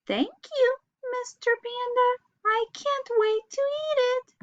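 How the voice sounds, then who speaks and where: A woman voicing an eager, polite little penguin in a childlike, sing-song squeak for a storybook. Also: slow pace, fairly bright tone, dry recording, lo-fi sound, full character voice. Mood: delighted.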